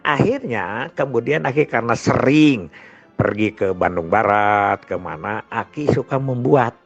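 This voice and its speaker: Older Indonesian man reminiscing, dull lo-fi recording. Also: mid-range voice. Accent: Sundanese-region Indonesian. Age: older, grandfather. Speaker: storyteller. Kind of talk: informal reminiscing monologue. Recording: dull lo-fi sound with music behind. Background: music.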